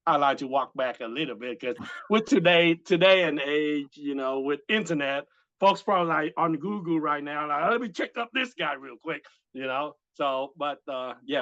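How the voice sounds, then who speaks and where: Soft-spoken, hesitant man from Vietnam. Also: mid-range voice, no room sound, highs cut off. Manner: hesitant, many fillers, polite. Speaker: guest, retired Marine. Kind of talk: casual interview. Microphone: video call.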